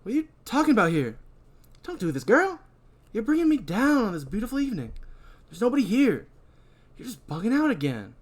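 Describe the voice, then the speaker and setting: A woman's voice: a little high, sing-song, fairly quick, long pauses, pitched above the performer's own voice. A woman voicing a laid-back stoner young man brushing off a friend's worry. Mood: dismissive.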